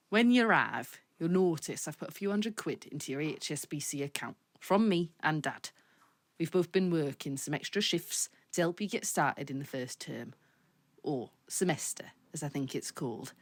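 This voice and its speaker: An actress playing a proud, doting middle-aged mother in an audio drama, tender and gently teasing as she reads out a letter. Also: low-pitched. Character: proud, doting, teasing working-class mother of grown sons. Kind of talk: audio drama, reading a heartfelt letter aloud.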